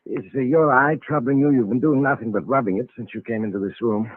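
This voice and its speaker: An actor playing a solemn, suspicious estate lawyer with a formal, stiffly courteous manner in an old radio drama. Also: male voice, medium pitch, quick pace, no echo, close to an everyday voice. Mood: solemn, probing. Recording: vintage radio recording, narrow phone-like band.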